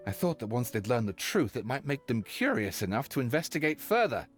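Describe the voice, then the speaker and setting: A man voices an earnest detective from a game, driven to find the truth. His delivery is theatrical and very lively, rising and falling over background music. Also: mid-range voice, a little fast, close to an everyday voice. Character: remorseful idealistic detective culprit, earnest truth-seeker. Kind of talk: scripted game voice acting. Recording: music under the voice.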